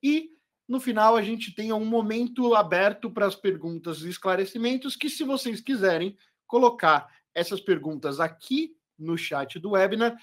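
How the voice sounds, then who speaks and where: A man hosting a corporate webinar as its presenter, speaking fluently and upbeat. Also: high-pitched. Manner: fluent, upbeat presenter, says his accent is not Portuguese. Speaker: webinar host, product marketing manager.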